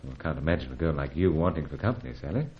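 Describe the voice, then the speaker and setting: An actor playing a suave, steady secret agent in an old radio adventure serial, speaking in a calm, gallant, clipped manner. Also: male voice, very deep voice, very fast. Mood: soothing, gallant. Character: suave, steady British secret agent hero, adult man. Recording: dull, lo-fi old radio recording.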